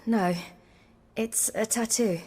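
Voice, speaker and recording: Evasive, polite young traveller: breathy, slightly high voice, measured and reticent, video game role. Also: female voice, steady tone, warm tone. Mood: subdued, reticent. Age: young adult. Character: guarded, weary young woman traveller, polite but evasive.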